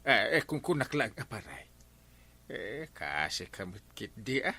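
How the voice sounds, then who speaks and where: Guy doing a shifty hemming ilocano pal, voice jumps all over, sharp, radio comedy drama. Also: lowish voice, sing-song, unhurried, slightly rough, heavily acted. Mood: sly, hesitant. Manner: stammering, evasive, full of hems and haws. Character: hesitant, sly village buddy angling for a favour.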